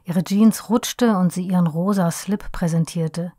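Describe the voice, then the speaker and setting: A woman narrating a radio play in a soft, intimate manner. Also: fairly low pitch, unhurried, dry recording, clean studio sound. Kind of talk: scripted narration.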